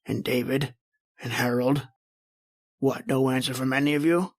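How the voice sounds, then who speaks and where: A man's voice: mid-range voice, little pitch movement, moderate pace, natural voice. A man playing a bitter, imperious, dying old uncle in an old-time radio horror play, full of menace. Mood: bitter, accusing.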